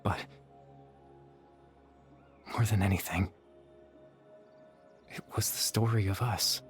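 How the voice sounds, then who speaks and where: Game role: gentle, sorrowful young man, low-pitched, measured confessional delivery, faint score underneath. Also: fairly even intonation. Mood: wistful, resigned. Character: gentle, sorrowful young man, a fading remnant consciousness at peace. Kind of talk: scripted video game voice acting. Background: background music.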